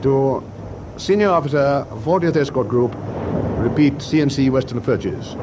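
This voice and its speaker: An actor playing a composed, businesslike warship captain in a radio war drama, speaking in a measured, formal way. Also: male voice, medium pitch, background noise, lightly acted. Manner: measured, dictating signals formally. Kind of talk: full-cast radio war drama acting. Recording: clear outdoor sea ambience behind.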